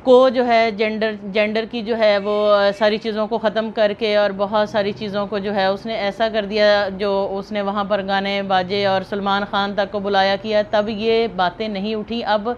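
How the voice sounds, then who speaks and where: A woman's voice: mid-range voice, level tone, normal speed, few pauses. Pakistani woman commentator, muffled noisy recording. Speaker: video host and commentator. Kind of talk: unscripted opinion commentary to viewers. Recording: muffled lo-fi, clear background noise.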